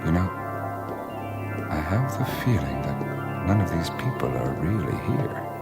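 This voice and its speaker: Pondering adventurer, low pitch, hesitant, inquisitive, radio drama, music behind. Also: male voice, very animated, measured pace, heavily acted. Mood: puzzled, pondering.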